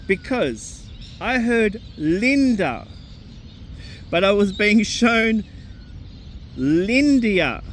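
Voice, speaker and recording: A man who speaks English as a second language talks earnestly and deliberately, spelling out details one by one. His voice sits high for a man, and he takes his time with every phrase. A faint hum of outdoor noise sits behind him. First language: not English. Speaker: psychic medium, host. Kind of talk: personal recounting to camera.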